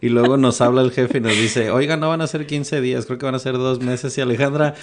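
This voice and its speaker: A man's voice: mid-range voice, fairly even intonation, fairly quick, slight background noise. A man hosting a podcast, teasing his guest with jokes and crude slang. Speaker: podcast host. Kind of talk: casual conversational interview.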